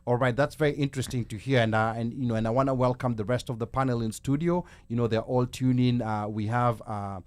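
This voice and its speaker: A man's voice: mid-range voice, fairly even intonation, quick pace, few pauses, darkish tone. A man with a Kenyan accent hosting a live talk show, conversational, mixing Swahili words into English. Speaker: host.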